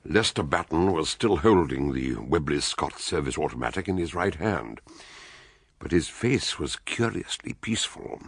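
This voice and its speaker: An actor playing a ponderous, learned doctor sleuth who tells his case in a measured, theatrical, literary way in a radio drama. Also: rumbling low, lively intonation, husky, full character voice. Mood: measured narration. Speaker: detective and narrator. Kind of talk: radio drama narration and dialogue.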